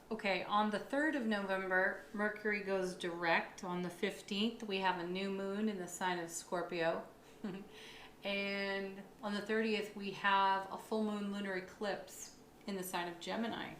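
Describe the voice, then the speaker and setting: A woman's voice: mid-range voice, little pitch movement, a little slow, fairly bright tone. Woman tarot reader, slightly froggy and tired in the voice, upbeat and reassuring, recorded in a roomy space with faint background hum. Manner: upbeat and affirming, with thoughtful asides. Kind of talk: monthly astrology tarot reading. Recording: echoey room with faint ambience.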